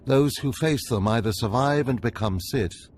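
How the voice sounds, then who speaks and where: An actor playing a stern, scheming older Sith overseer in a video game, speaking in a commanding, measured, formal way. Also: male voice, medium pitch, a little mellow, a little hiss or hum, natural voice.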